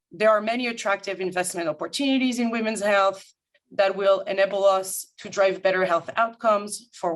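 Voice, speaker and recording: A woman from Paris with a French accent, a guest consultant presenting formally and in a measured, articulate way to an audience. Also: mid-range voice. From France, grew up in Paris. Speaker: guest speaker, management consultant. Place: remote video-call talk.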